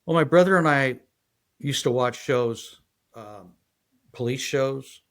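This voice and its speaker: A man in his sixties from San Diego, a guest telling his life story in a halting, rambling way full of fillers. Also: medium pitch. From San Diego, USA. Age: 60s. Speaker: guest, retired federal agent. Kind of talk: casual interview storytelling.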